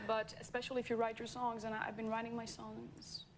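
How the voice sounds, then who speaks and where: A woman whose first language is Italian, a singer being interviewed on a talk show, expressive and giggly. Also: on the high side, fairly quick, background noise.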